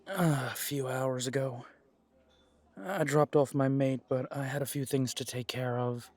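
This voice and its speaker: A man's voice: mid-range voice, fairly even intonation, a little slow, darkish tone, lightly acted. A man playing a wry, tired and protective male werewolf pack alpha in an audio drama, with dry sarcasm. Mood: weary. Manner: dry, sarcastic, hesitant when weary.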